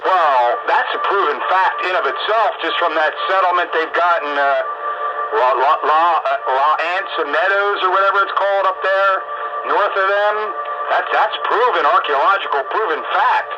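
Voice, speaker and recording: Folksy higher-voiced man on noisy radio. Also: moderate pace. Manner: folksy, colloquial, mildly salty, emphatic rhetorical questions. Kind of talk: casual opinionated chat. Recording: muffled lo-fi radio audio, clear background noise.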